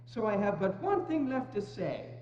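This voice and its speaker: A woman's voice: mid-range voice, very animated, normal speed, warm tone, natural voice. A woman acting a cheerful, good-hearted young nephew in a theatrical dramatic reading, earnest and buoyant. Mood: pleading, determined. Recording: echoey hall, dull sound, faint hum.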